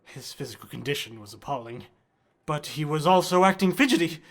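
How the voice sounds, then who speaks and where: A man acting an earnest, anxious gentleman in a horror radio drama, speaking formally and dramatically. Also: a little high, lively intonation, a little fast. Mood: troubled, subdued. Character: earnest, anxious gentleman recounting uncanny experiments.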